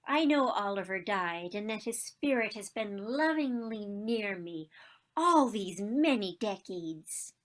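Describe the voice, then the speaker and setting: A woman acting a wistful, romantic elderly woman in a stage play, with dramatic, deliberate delivery. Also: medium pitch, animated. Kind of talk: one-act stage play performed on a video call.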